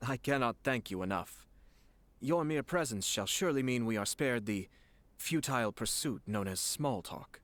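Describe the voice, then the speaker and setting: An actor playing a courtly, shrewd young clan lord in a video game, speaking in a formal, measured and polished way. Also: male voice, mid-range voice, lively intonation, fairly bright tone, no room sound, close to an everyday voice. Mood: courteous, probing.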